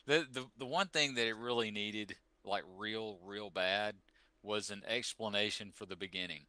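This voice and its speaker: A middle-aged or older man co-hosting a casual podcast, talking haltingly and often repeating his words. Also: on the low side, sharp tone, no echo. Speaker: podcast co-host. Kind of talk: casual group chat.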